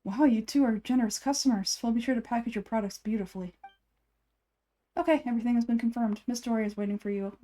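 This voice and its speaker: Woman as a mellow, guarded market vendor. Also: fairly high pitch, quick pace, natural voice. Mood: polite, guarded. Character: wary, polite shady fruit vendor.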